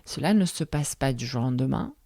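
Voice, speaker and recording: A low-voiced woman in her thirties hosts a reflective podcast monologue, speaking in a language that is not her first. Her tone is mellow and soft-spoken, and she turns over one question after another. The recording is dry and close, with no room sound. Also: animated, brisk, no room sound. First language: not French. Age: 30s. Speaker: podcast host.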